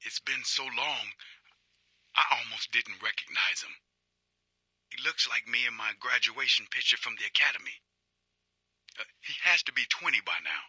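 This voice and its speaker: An actor playing a guilt-ridden, obsessive middle-aged detective in a video game, husky and halting, in a brooding, intense monologue. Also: male voice, medium pitch, expressive, pushed and bright, dry recording, full character voice. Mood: wistful, regretful. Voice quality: husky, raspy.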